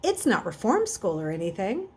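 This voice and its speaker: A woman's voice: mid-range voice, huge pitch swings, moderate pace. A woman voicing a jolly, reassuring father in a storybook, with a hearty, falsely cheerful manner.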